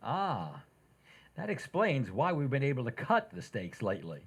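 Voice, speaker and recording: A man playing a wry, mildly exasperated suburban husband in 1940s radio comedy, with a husky voice. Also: medium pitch, huge pitch swings, normal speed, heavily acted.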